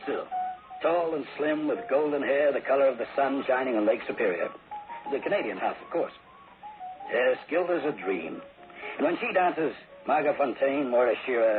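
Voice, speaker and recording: A man's voice: mid-range voice, natural voice. An actor playing a wry, flirtatious private detective who tells his own story in a 1960s radio drama, with hesitant asides. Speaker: actor narrating and playing the lead. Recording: tinny old radio recording, music behind.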